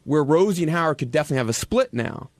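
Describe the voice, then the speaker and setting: A man in casual radio discussion, speaking haltingly as he thinks aloud. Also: fairly high pitch, expressive, dry recording, studio quality. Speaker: radio show staffer. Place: radio studio.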